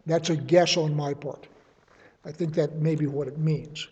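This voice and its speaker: A man teaching a verse-by-verse Bible study, reasoning aloud in a measured way and offering his readings as suggestions. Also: fairly high pitch, fairly even intonation, darkish tone, husky, big-room sound, muffled recording.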